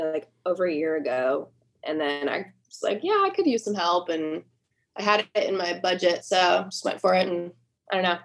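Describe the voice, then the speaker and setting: A woman musician speaking in a casual interview over a video call, with lots of likes and trailing pauses. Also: fairly low pitch. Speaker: musician guest.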